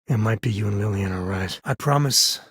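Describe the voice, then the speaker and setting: Video game space explorer dad: very husky mid-pitched voice, folksy, wry, easygoing, close and dry. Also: male voice, brisk, fairly bright tone, no echo. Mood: worried, tense. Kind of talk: video game character dialogue.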